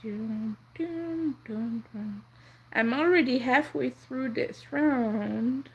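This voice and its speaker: A young woman whose first language is French, chatting softly and rambling on a crochet livestream. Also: a little high, drawn-out words, slightly rough, lo-fi sound. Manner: soft-spoken, rambling, counts under her breath. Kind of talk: casual chat while crocheting.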